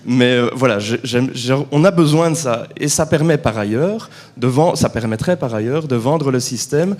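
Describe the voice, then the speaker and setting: A man's voice: medium pitch, normal speed, a little mellow. A man from Belgium, asking a frank, wry question from the floor at a conference. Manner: frank, wry, informal asides. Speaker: transit accessibility manager asking a question.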